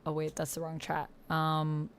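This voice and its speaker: A woman livestreaming a text-based roleplaying game, reading narration aloud and chatting casually with slangy, excitable reactions. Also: low-pitched, a little slow, clear, resonant tone.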